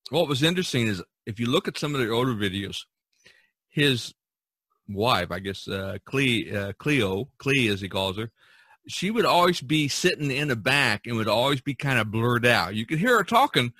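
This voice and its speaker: A man with a bright, forward voice hosts a casual podcast. He talks haltingly, restarting phrases as he goes. The sound is dry and close to the mic. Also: medium pitch, lively intonation, no echo. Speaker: podcast host.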